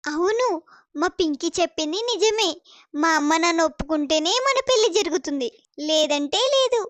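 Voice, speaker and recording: A confident, eager young woman from a cartoon storybook, played by a woman. She sounds very high and reassuring, in a dry recording. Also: animated, normal speed, a bit bright, dry recording. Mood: friendly.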